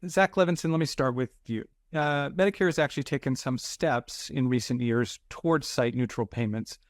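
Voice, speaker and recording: A man with a mid-range voice runs the panel and asks questions in a brisk, friendly way. He is heard dry and close over a video call. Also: mid-range voice, no room sound. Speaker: webinar moderator. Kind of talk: moderating a panel.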